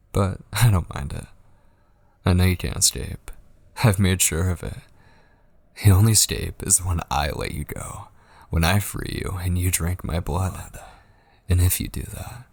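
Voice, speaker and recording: Male actor as obsessive kidnapper, ASMR roleplay, very slow delivery, seductive menace, pleading, breathy whispers, gravelly fry, close and dry. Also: low-pitched, no room sound, heavily acted. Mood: cold, controlling. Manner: slow, coaxing, slipping often into breathy whispers. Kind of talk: ASMR audio roleplay acting.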